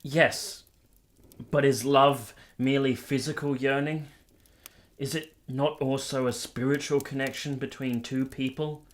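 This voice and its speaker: Guy acting as conflicted romantic gentleman in a period radio play, medium pitch, earnest, measured and literary. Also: close to an everyday voice. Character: Giorgio, lovestruck, conflicted 19th-century Italian man, confessional narrator. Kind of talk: radio drama narration and intimate dialogue.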